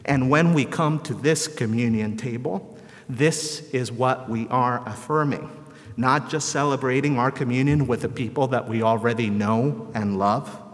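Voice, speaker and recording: A man from El Salvador preaching a sermon in a measured, rhetorical way with deliberate phrasing and repetition. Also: medium pitch. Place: large reverberant church or hall. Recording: echoey hall with long reverb.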